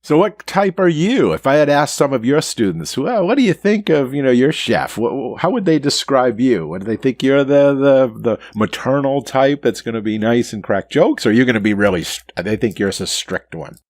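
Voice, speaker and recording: A man's voice: mid-range voice, expressive, moderate pace, few pauses, a little mellow, dry recording, clean studio sound. A man hosting a podcast, asking questions in a casual, friendly way and thinking aloud. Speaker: podcast host.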